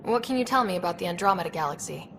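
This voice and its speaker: A woman's voice: on the low side, lively intonation, very fast, background noise. A woman playing a wry, plainspoken young space explorer in a video game, talking in a casual, direct way. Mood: curious, direct. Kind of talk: naturalistic video game acting.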